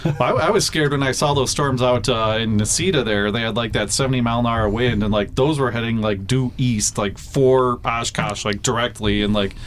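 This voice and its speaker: A man working as a weather forecaster, chatting casually in a radio interview, full of fillers and quick laughs. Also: mid-range voice, a little hiss or hum.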